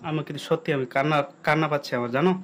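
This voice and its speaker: A man's voice: on the high side, fairly even intonation, rapid-fire, fairly bright tone, slight background noise. A young man talking casually and insistently, as a prankster on a phone call. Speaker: prank caller and video host.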